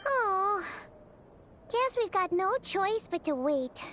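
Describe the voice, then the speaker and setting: A tiny childlike companion from a video game. The voice is extremely high and squeaky, with very animated swings in pitch. The manner is curious, chatty and inquisitive, over background music. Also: female voice, slow pace, exaggerated voice. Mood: puzzled. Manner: inquisitive, refers to self in third person. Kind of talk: video game cutscene acting.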